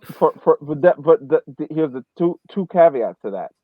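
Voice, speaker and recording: A man's voice: on the high side, lively intonation, moderate pace, no room sound. A man giving casual sports analysis as a podcast panelist, explaining his points step by step. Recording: dull phone-like band-limited audio.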